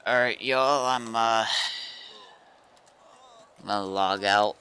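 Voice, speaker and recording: A man's voice: lowish voice, slow pace, bright, forceful tone. A man playing an online roleplay game and streaming it, talking in casual in-game chat. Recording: faint background noise, game vehicle sounds.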